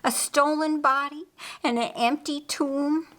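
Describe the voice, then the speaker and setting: A woman's voice: high voice, fairly even intonation, fairly bright tone, voice pushed up. A woman voicing a grieving, angry woman's indignant inner thoughts in a dramatic audiobook. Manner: indignant, halting, emphatic.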